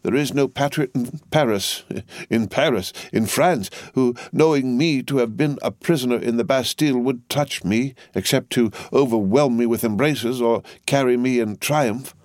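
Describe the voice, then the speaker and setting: A man's voice: mid-range voice, normal speed, darkish tone, no echo, studio quality, pitched above the performer's own voice, natural voice. A man voicing a proud, self-assured old doctor, cool and bold, in a storybook reading. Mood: cool, confident.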